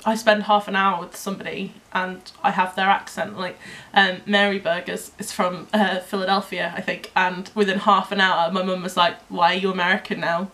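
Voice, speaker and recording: A woman's voice: medium pitch, flat delivery, moderate pace, bright, forceful tone. Giggly young english girl vlogging, accent a mix of everywhere, lots of likes and ums. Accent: mixed English, picks up others' accents. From England. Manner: giggly, chatty, filler-heavy. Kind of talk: casual couple chat.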